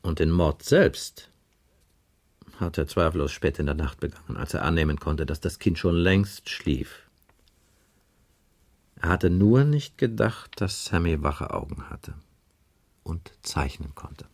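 An actor playing a blunt, world-weary police inspector in a radio crime drama, speaking in a matter-of-fact, sardonic and deliberate way. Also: male voice, rumbling low, exaggerated voice. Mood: grim. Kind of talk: 1980s German radio crime drama acting.

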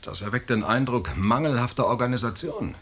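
An actor playing an agitated, defiant expedition lieutenant in a radio drama, with a husky voice. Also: male voice, mid-range voice, animated, a little fast, fairly bright tone. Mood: indignant. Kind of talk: 1980s German radio drama acting.

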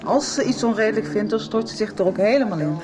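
An actress playing a lively, sociable young woman in a naturalistic radio soap. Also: mid-range voice, fast talker, lightly acted. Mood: breezy. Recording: busy, noisy pub crowd.

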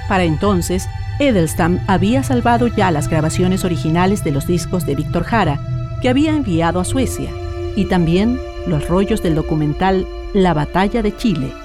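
A woman reading scripted documentary narration in a measured, solemn manner. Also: on the low side.

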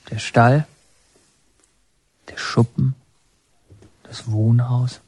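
An actor playing a brooding young inmate in an introspective radio monologue, musing in halting fragments, breathy and often half-whispered. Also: male voice, mid-range voice, warm tone, slight background noise. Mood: brooding, remembering. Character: brooding young male inmate remembering his father.

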